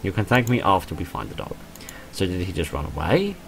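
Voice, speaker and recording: A man's voice: deep voice, big pitch swings, fairly quick, gravelly, faint music behind, full character voice. A man voicing a polite, helpful and mildly hesitant homebody woman painter in a visual novel.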